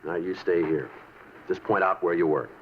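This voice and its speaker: An actor in a 1950s radio drama playing a methodical police detective, clipped, factual and deadpan. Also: male voice, deep voice, moderate pace, a little hiss or hum, natural voice. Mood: terse. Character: terse, methodical male police detective narrating his case. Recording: muffled 1950s radio with music behind.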